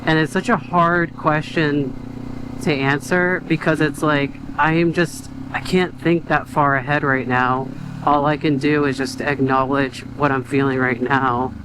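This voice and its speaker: A man's voice: on the high side, steady tone, unhurried. A young man vlogging from his car, talking in a hesitant, rambling, reflective way full of fillers and restarts. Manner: quiet, reflective. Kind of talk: casual venting while driving. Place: in a car on the road. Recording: road and engine noise behind.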